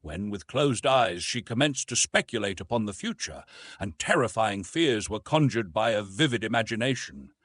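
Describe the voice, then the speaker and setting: A man narrating a literary story from a script in an expressive, dramatic storytelling manner. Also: mid-range voice, husky, dry recording. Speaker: audiobook narrator.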